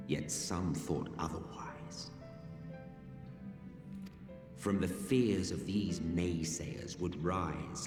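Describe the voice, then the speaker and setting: Grand tired old-world villain in a game cutscene, deep and wry, echoey, big music behind. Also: male voice. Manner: grandiose, measured, wry storyteller with hushed dramatic pauses. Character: weary, theatrical ancient villain recounting lost history. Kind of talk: video game cutscene dramatic monologue. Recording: echoey distant hall under loud music.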